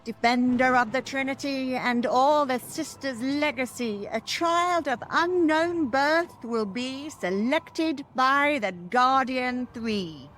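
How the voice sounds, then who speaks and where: Storybook role: an old sightless hag, high-pitched, imperious and squabbling, declaiming in archaic phrases. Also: female voice, slow, hardly any pauses, fairly bright tone, slight background noise. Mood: solemn invocation. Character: ancient blind witch hag, shrill, bossy and quarrelsome.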